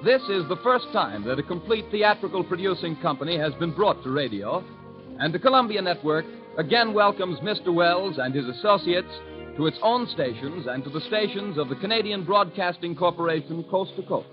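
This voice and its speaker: A man's voice: high voice, lively intonation, brisk. A man announcing formally in a declamatory 1930s radio style. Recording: dull lo-fi vintage radio broadcast. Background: clear music.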